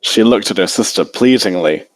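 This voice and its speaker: A man's voice: on the low side, animated, normal speed, dry recording. A man reading fan fiction aloud as an amateur story narrator.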